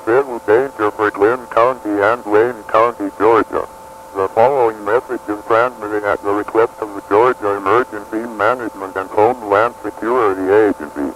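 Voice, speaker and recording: A man reading a formal scripted emergency broadcast as an impersonal, measured announcer. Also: lowish voice, rough recording. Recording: radio broadcast with faint hum.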